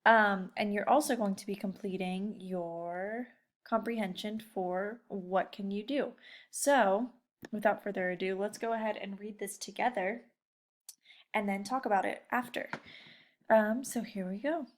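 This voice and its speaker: Woman, elementary teacher, medium pitch; casual, encouraging lesson talk aimed straight at kids. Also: unhurried. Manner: encouraging, addresses children directly with questions. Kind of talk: casual read-aloud lesson talk to young pupils.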